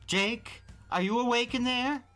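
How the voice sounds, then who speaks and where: A man voicing a mother calling loudly to her teenage son in an audiobook. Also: very high voice, a little slow, faint music behind, pitched above the performer's own voice, exaggerated voice. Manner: loud, calling through a door.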